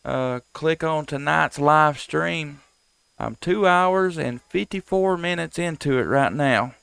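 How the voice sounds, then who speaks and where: Unhurried man on ham radio. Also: on the high side, vocal fry, fairly bright tone, clear, resonant tone, dry recording. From United States. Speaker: amateur radio operator and live stream host. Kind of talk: casual ham radio chat and calling.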